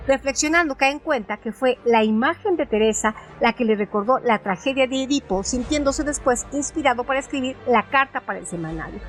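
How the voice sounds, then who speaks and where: A woman hosting a radio show, reading a scripted literary analysis in a measured, formal way. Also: on the high side, poor audio. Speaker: radio host. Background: music bed.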